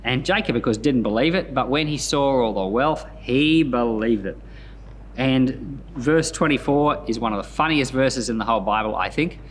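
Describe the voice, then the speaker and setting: A man reading scripture and commenting on it in an expressive storytelling manner, as a pastor hosting a Bible podcast. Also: medium pitch, normal speed, slight background noise.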